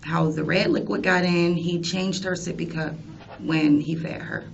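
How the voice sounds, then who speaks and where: A woman's voice: fairly low pitch, fairly even intonation, unhurried, gravelly. A young woman answering police questions in a tentative, matter-of-fact way. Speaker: interviewee in a police interrogation. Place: indoor police interview room. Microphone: distant room microphone. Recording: distant, muffled, noisy interview recording.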